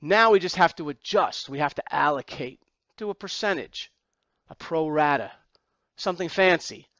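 Male lecturer, slightly high voice, didactic, repeats for emphasis, asks rhetorical questions, muffled lo-fi audio. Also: lively intonation, normal speed, pushed and bright, no echo. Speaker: accounting exam instructor. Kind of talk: lecture walking through slides.

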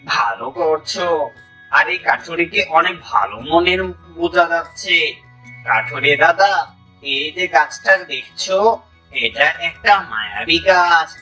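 Cartoon role: stern wise crow, extremely sharp, raised pitch, slightly off-mic over music. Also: male voice, lively intonation, a little slow, distant mic, full character voice, poor audio. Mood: grateful, approving. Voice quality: cawing calls between phrases. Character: wise, stern crow guarding a magic tree. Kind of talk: Bengali moral-story cartoon voice acting.

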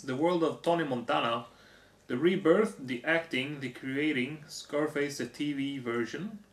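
A hoarse young man on a living room couch, casually reading off movie box features. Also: mid-range voice, a bit bright. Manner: reads off lists, offhand comments. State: hoarse, losing his voice. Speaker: vlogger. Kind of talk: casual unboxing chat. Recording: echoey room.

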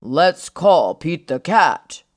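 A woman voicing a hearty, commanding old male Santa from a children's storybook, exclaiming loudly and emphatically. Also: low voice, sing-song, drawn-out words, pitched below the performer's own voice, heavily acted. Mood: emphatic.

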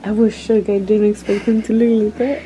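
A woman's voice: medium pitch, little pitch movement, normal speed, dark tone, recorded from a distance. A woman in her twenties, a new mother, chatting informally with some slang. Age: 20s. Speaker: vlogger's partner and new mother. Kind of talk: casual chat. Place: small hospital room, in bed. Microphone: vlog camera held by someone else. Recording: clearly noisy room ambience.

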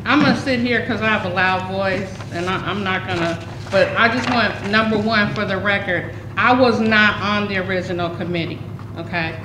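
A woman's voice: medium pitch, moderate pace. A woman in her fifties, a committee member, speaking emphatically at a public meeting and repeating her points. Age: 50s. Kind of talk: impassioned public meeting remarks. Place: large community center meeting room. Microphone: table microphone. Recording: echoey hall, faint room noise.

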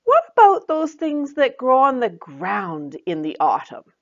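A woman's voice: fairly high pitch, wildly swooping pitch, a little slow, dull audio, voice pushed up, exaggerated voice. A woman voicing a helpful young fairy best friend in a storybook, coaxing and exclaiming with enthusiasm. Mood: encouraging.

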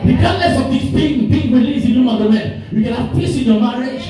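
Man preaching loud in a big echoey church, kinda far from the mic, music under him, pitch sits a bit high. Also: animated, fairly quick. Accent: African English. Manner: preaching with rising declarations, repeated phrases. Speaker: pastor. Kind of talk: sermon. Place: church hall.

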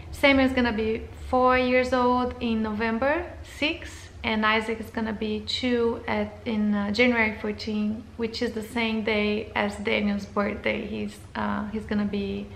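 A woman's voice: fairly high pitch, vocal fry, a bit bright, echoey room, a little hiss or hum, rough recording. A woman in her thirties from Brazil, speaking English with a Brazilian Portuguese accent in a hesitant, casual intro to camera, with fillers and restarts. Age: 30s. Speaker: vlogger.

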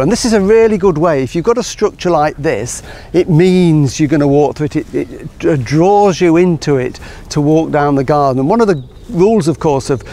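A middle-aged man giving a relaxed, enthusiastic guided tour of his garden outdoors, explaining as he walks. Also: fairly high pitch, a little hiss or hum. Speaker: gardener and show host.

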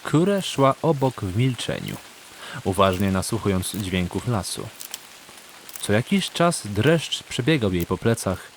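A man's voice: lowish voice, a little hiss or hum. A man reading scripted narration for a fantasy audiobook.

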